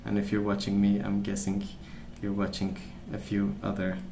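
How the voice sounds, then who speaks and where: A man rambling casually in a soft-spoken ASMR style, hesitant and meandering as he hosts. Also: fairly low pitch, monotone, moderate pace, gravelly, roomy sound, a little hiss or hum, rough recording. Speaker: ASMR video host.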